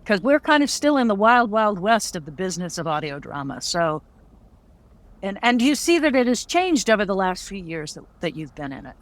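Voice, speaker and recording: A woman interview host with a mid-pitched voice. She talks casually and conversationally, with a wry, self-deprecating streak as she puts questions and shares her own view. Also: big pitch swings, brisk. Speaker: audio drama writer and creator.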